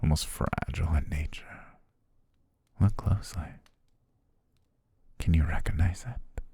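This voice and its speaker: A young man playing a devoted, quietly possessive butler, speaking softly and doting in an ASMR roleplay on a close mic. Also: a bass voice, unhurried, breathy edge, no room sound, full character voice. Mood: soothing whisper. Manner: soft, coaxing, doting, lulling. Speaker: ASMR voice actor.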